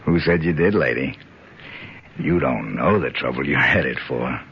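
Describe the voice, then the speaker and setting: An actor playing a tough, wry, protective investigator in a 1970s radio drama. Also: male voice, a bass voice, lively intonation, unhurried, very noisy, full character voice. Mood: teasing. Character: tough, wry, protective male investigator narrating his adventure. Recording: dull old radio broadcast, music behind.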